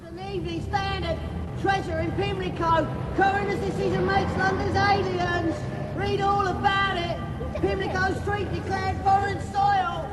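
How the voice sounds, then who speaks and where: A woman's voice: very high-pitched, little pitch movement, normal speed. A woman playing a street newspaper seller, crying out headlines in vendor calls in a radio comedy. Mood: urgent hawking. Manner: shouted sing-song vendor calls. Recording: distant echoey voice, loud music and street. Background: music, crowd, vehicles.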